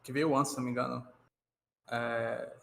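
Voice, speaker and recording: A Brazilian man chatting casually as a co-host, explaining haltingly and checking for agreement. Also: mid-range voice, flat delivery, vocal fry, a bit bright. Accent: Brazilian Portuguese. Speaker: co-host reacting to a video. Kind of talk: casual chat. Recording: roomy, echoey, faint background noise.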